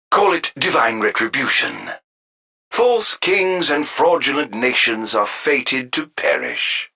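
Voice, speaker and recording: A man's voice: on the high side, pushed and bright, husky, no room sound, full character voice. An actor playing a theatrical, vengeful fallen king turned immortal villain in a video game, with grandiloquent, ornate diction. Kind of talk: dramatic video game voice acting.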